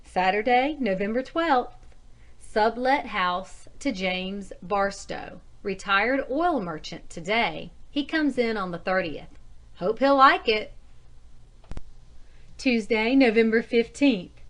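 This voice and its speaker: A woman's voice: fairly high pitch, lively intonation, takes it slowly. A woman reading scripted narration, telling a ghost story written as a diary. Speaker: audiobook narrator.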